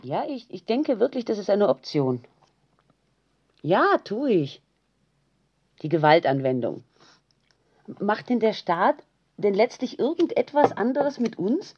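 A woman's voice: medium pitch, sing-song, measured pace, long pauses, darkish tone, slightly rough. An actress playing a combative female partner with radical views in naturalistic radio drama, insistent and challenging with pointed questions. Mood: insistent, provocative. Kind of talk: naturalistic radio play dialogue. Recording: dull, lo-fi home tape sound.

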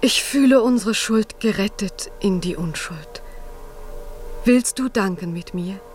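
A woman's voice: mid-range voice, a little slow, darkish tone, soft background music, natural voice. An actress playing a devout, determined young mother in a 1950s German radio drama, speaking with earnest, lyrical fervour. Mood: fervent, trusting.

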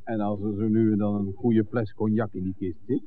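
A man's voice: fairly low pitch, flat delivery, fairly quick, a loud crowd, natural voice. An actor playing a jovial, curious, blunt man in a 1960s radio drama, speaking in exclamations and questions. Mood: teasing. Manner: exclamatory, inquisitive. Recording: distant, noisy, narrow-band old radio recording.

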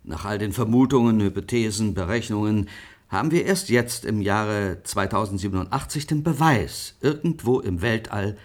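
An actor playing an insistent, dismissive scientist in an emphatic, lecturing radio drama style. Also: male voice, fairly low pitch, lively intonation, moderate pace, natural voice. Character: insistent, dismissive male scientist professor in a future. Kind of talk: German radio drama acting.

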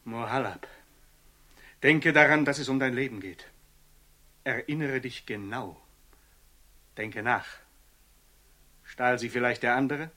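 An actor playing a grave, suspicious ruler in a German radio play, speaking with measured authority. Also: male voice, medium pitch, sharp tone. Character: stern, commanding male ruler, grave and suspicious.

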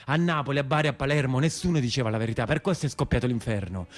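An actor playing an imprisoned brigand in a radio play, plain-spoken and anguished, with a southern Italian accent and colloquial, dramatic delivery. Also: male voice, medium pitch, very fast, close to an everyday voice. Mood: sardonic, aggrieved. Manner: colloquial, dialect-laced, dramatic. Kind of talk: theatrical monologue in a radio play.

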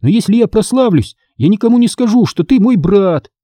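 A man voicing an excitable, indignant young girl in a storybook, insistent and exclamatory. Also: high-pitched, fairly quick, dry recording, studio quality, voice pushed up. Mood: excited.

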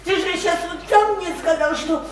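High female voice, radio drama, lonely insecure young woman, plaintive, repetitive, echoey room, faint noise. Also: moderate pace. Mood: hurt insistence. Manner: plaintive, repetitive, childlike insistence. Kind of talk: theatrical Russian radio play acting. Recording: roomy, distant stage sound, faint noise.

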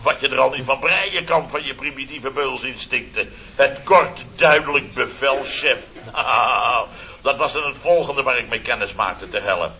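An actor playing an exasperated, grumbling company boss in a Dutch radio comedy serial from around 1970. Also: male voice, medium pitch, measured pace, bright, forceful tone. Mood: mocking indignation. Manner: sarcastic, grumbling, exclamatory. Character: blustering, easily exasperated boss of a building firm. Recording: dull, hissy old radio recording.

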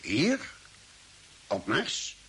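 Earnest space doctor in old radio drama, explains things slowly and dramatically, very lively pitch, bit of hiss. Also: male voice, heavily acted. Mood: insistent. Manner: deliberate, explanatory, dramatic pauses. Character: earnest spaceship doctor, reasoning out a grim theory. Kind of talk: old Dutch radio play acting. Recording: hissy vintage radio drama recording.

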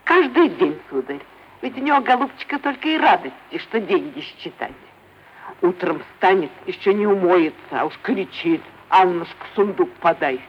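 A man's voice: high-pitched, expressive, normal speed. An actor voicing a simple, wary female housekeeper in a theatrical radio play, folksy, deferential and plaintive. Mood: confiding. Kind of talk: theatrical classic Russian stage acting. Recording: dull vintage radio recording, faint hiss.

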